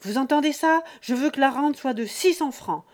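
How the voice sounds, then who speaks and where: A man's voice: very high voice, normal speed, pitched above the performer's own voice. A woman reading aloud and voicing an old, domineering peasant father who roars threats at his children. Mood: furious. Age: older. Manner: roaring, threatening.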